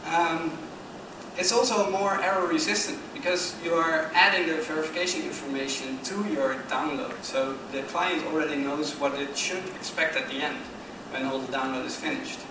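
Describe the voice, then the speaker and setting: A man's voice: a little high, steady tone, moderate pace. A Dutch man giving a technical conference presentation, explaining slides with frequent hesitations and restarts. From Netherlands. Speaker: conference speaker. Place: conference room. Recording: echoey hall from far off with noise.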